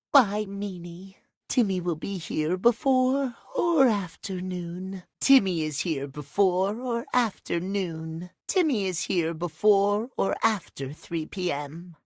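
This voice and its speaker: Slow, cutesy catlike creature. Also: male voice, high voice, dry recording, clean studio sound, full character voice. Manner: cutesy baby talk, talks in third person, with cat noises. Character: cutesy, childish, pouty catlike creature in a dating game. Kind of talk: video game character voice acting.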